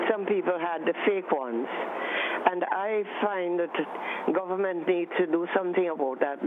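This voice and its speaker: A man from Grenada calling in to a radio show, earnest and halting as he searches for words. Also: high-pitched. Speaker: caller. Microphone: phone line. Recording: tinny phone line with hiss.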